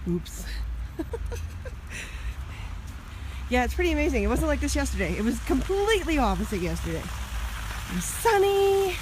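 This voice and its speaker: A woman from Toronto, chatting upbeat to the camera as she walks a snowy city street. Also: on the high side. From Toronto, Canada. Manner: chatty, upbeat, talking to camera while walking. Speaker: vlogger. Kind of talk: casual walking vlog. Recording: outdoor street and traffic noise. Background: traffic.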